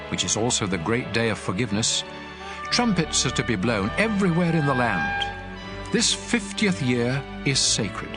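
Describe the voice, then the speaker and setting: An actor playing a stern, commanding God in a dramatized scripture reading, solemn, measured and authoritative. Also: male voice, medium pitch, natural voice. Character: God of Israel, stern commanding male lawgiver.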